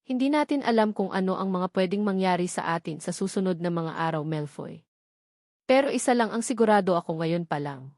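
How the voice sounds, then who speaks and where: A woman's voice: fairly low pitch, lively intonation, brisk, darkish tone, dry recording, studio quality, lightly acted. A woman voicing a devoted but unsure young wife in an audio romance novel, speaking earnestly and soothingly. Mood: reassuring.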